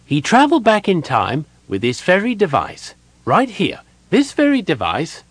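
A man's voice: high voice, wildly swooping pitch, normal speed, husky, dry recording, pitched above the performer's own voice, full character voice. A man voicing a naive, cheerful little kender, an eager and easily distracted chatterer from a fantasy audiobook. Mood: earnest, distracted. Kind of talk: audiobook character voice.

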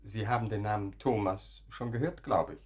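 An actor playing a self-assured, challenging man in a radio play, speaking in a cool, pointed and deliberate way. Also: fairly low pitch, breathy edge. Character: self-assured male visitor, challenging and unapologetic. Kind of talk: German radio play acting.

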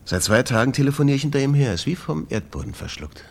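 An actor playing a troubled, exasperated jazz club owner in a radio play, talking in a confiding way. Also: male voice, medium pitch, big pitch swings, fast. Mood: worried, confiding.

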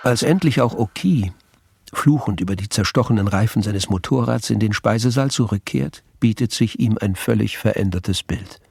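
A man's voice: on the low side, normal speed, creaky, darkish tone. A man narrating a literary crime radio play. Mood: measured. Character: male narrator of a crime story.

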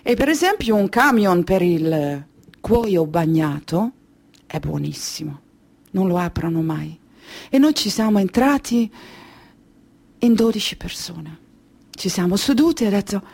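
A woman performing a theatrical monologue as a weary, haunted Kurdish refugee recounting her flight, speaking deliberately and emotively in short phrases with pauses. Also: mid-range voice, lively intonation, creaky, darkish tone, husky, heavily acted. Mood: tense recounting. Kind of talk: slow dramatic theatrical monologue.

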